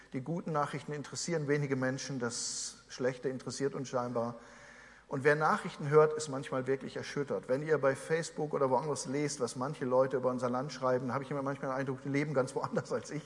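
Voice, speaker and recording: A man in his forties from Germany preaching a sermon, earnest and conversational with asides to the congregation. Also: medium pitch. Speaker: pastor. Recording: long hall reverb.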